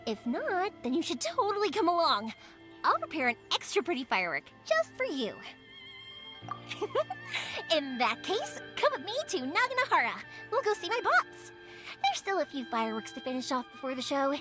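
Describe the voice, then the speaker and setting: A woman's voice: sits high, moderate pace. A woman voicing a bubbly, outgoing young fireworks maker who talks energetically and chattily. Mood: cheerful. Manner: energetic, chatty, patient with her father. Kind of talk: video game voice acting. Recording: game cutscene with music behind.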